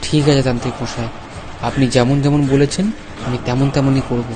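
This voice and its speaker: A man's voice: mid-range voice, little pitch movement, a little fast, crowd noise, lightly acted. A man voicing a worried village father in a cartoon story, agitated and pleading, repeating himself. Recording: clear music under the voice.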